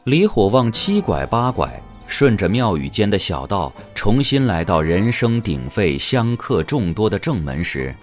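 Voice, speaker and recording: Deep, expressive male narrator. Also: measured pace, hardly any pauses, faint music behind. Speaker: audiobook narrator. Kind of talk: dramatic scripted narration.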